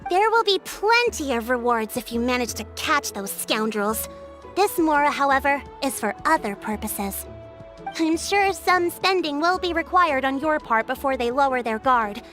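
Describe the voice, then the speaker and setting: Young lady chief in a game, high voice, polite n sure of herself, soft music behind. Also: expressive, moderate pace. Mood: assured. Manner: polite, articulate, assured. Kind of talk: animated video game dialogue.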